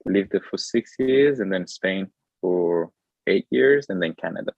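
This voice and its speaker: Deep-voiced man on a dull video call. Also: lively intonation, vocal fry, darkish tone, dry recording. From Iran. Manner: brisk, matter-of-fact listing. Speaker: foreign-trained dentist, guest speaker. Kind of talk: casual self-introduction.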